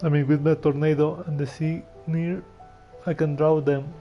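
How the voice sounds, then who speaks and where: A game streamer giving casual gameplay commentary in a halting, amused, slangy way. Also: medium pitch, flat delivery, lo-fi sound, soft background music.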